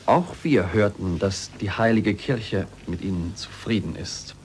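Actor as formal, coolly reproachful former pupil. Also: male voice, fairly low pitch, a little mellow, natural voice. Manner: formal, measured, pointed. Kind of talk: 1940s German radio drama acting. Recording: noisy 1940s radio recording.

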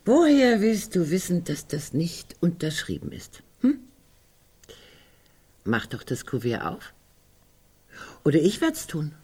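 An actress playing a sardonic, provocative sister in a German radio drama, theatrical and husky. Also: female voice, on the low side, wildly swooping pitch, slow, long pauses, mellow, a little hiss or hum, heavily acted. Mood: defiant. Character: Greta, sardonic, provocative sister of a squabbling family.

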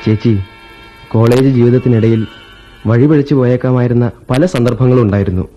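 A man's voice: medium pitch, steady tone, brisk, mellow, lightly acted. A young man playing Anu, a troubled college student worried about his mother, in a radio play. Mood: earnest. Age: young adult. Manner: earnest, melancholy, reflective. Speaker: radio drama actor. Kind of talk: 1980s Indian radio play acting. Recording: dull old radio recording with faint music.

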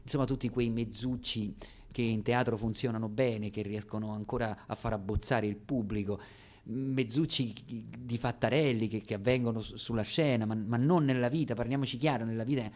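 A man delivering an intimate comic theatre monologue to radio listeners, halting and ironically courteous, as if in muttered asides. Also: mid-range voice, fairly even intonation, darkish tone.

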